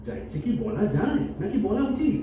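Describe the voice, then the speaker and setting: A man's voice: high-pitched, big pitch swings, a little fast. A man playing an exasperated, defensive boyfriend in a melodramatic stage audio drama. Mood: indignant. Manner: pleading, defensive, indignant. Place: multipurpose hall stage. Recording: distant echoey hall, thin phone-like, faint noise.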